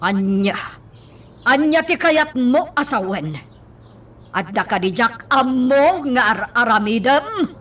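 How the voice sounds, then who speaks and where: A defiant, hot-headed wife in a radio drama. The voice is high and lively in pitch, with a snappy, argumentative edge. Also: female voice, slow pace, heavily acted. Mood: indignant. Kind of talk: Ilocano radio drama acting.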